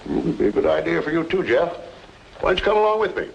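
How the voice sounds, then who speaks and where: Gravelly, coaxing older pal. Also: male voice, fairly high pitch, very animated, fast, full character voice. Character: older friendly man, soothing, persuasive, uneasy underneath. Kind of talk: old-time radio drama acting. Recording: dull, noisy old radio recording.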